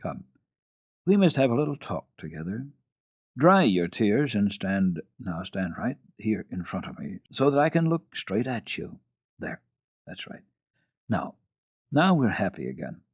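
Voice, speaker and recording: A man voicing a kindly, encouraging old grandmother in a storybook reading, coaxing and deliberate but gently firm. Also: fairly low pitch, big pitch swings, breathy edge, exaggerated voice. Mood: soothing. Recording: narrow-band, echoey old radio recording.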